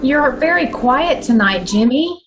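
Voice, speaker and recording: A woman playing a devoted, sorrowful sweetheart in an old time radio drama, speaking earnestly and haltingly. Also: sits high, expressive, music in the background. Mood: gentle concern. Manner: halting, earnest, repeats herself.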